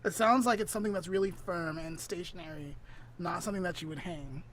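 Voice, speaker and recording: A woman's voice: on the low side, slight background noise. A woman co-hosting a podcast over a remote call, chatting casually and drawing out her guesses in a word game. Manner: drawn-out guessing questions, playful. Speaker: podcast co-host. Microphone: remote call mic.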